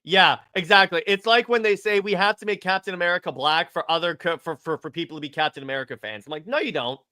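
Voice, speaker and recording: A man with a high, forceful voice in a casual discussion show. He speaks as an opinionated co-host and leans hard on his points, often saying them twice. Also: fast. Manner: emphatic, opinionated, repeats himself. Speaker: podcast co-host. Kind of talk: casual pop culture discussion.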